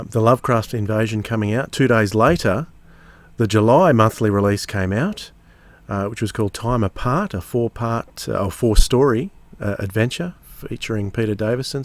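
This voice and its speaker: A man hosting a podcast in a casual, measured way, pausing mid-phrase. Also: lowish voice, no room sound. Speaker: podcast host.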